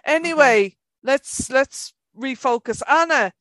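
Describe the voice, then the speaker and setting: Teasing higher-voiced woman in her fifties. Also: a bit bright, no room sound. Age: 50s. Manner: teasing, brisk. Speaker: podcast host. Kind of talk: casual family chat.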